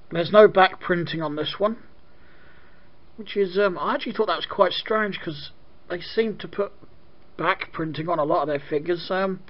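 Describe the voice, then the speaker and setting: A man from Britain with a croaky voice, casually reviewing a toy set, rambling with lots of ums and clearing his throat. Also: high-pitched, lo-fi sound. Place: indoor room at a desk with toy display.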